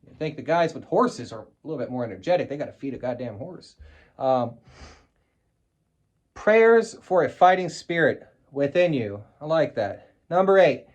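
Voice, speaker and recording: A middle-aged man from the United States talks through a collectible on camera in a casual, off-the-cuff way. His voice sits a little high for a man, and he is blunt, swears freely and drops wry asides. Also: lively intonation, moderate pace, muffled recording. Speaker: collector showing a wartime flag on video. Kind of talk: casual show-and-tell vlog.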